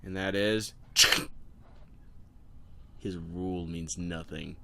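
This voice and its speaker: A man voicing a menacing male sea villain, speaking low and slow, in his own everyday voice. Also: fairly even intonation, creaky, heavy background noise, exaggerated voice.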